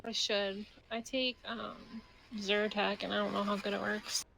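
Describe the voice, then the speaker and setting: Woman streamer, casual rambling chat, bright voice, slightly stuffy, faint background noise. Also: mid-range voice, little pitch movement, measured pace, creaky, low-quality recording. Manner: chatty and easygoing, lots of "like" fillers. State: stuffy from allergies. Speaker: roleplay streamer. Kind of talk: casual in-character chat during game roleplay. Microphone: headset or desk mic.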